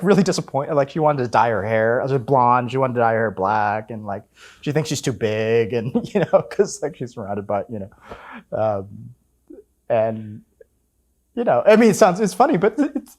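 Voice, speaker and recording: A man of about fifty telling his family story in an oral history interview, relaxed and full of fillers and restarts. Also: mid-range voice. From United States. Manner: relaxed, many fillers, self-correcting.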